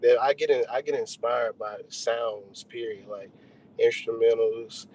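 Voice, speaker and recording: A man's voice: medium pitch, steady tone, unhurried, darkish tone, slight background noise, rough recording. Laid-back man. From Texas, United States. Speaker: interview guest, rapper. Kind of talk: casual interview chat. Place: remote video call.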